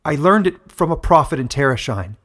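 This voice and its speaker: A proud young girl from a fantasy storybook, acted by a man, standing her ground. The speech is firm and emphatic, raised in pitch and a little rough-edged. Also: very animated, fairly quick, fairly bright tone. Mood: defiant. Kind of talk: dramatic fantasy audiobook character reading.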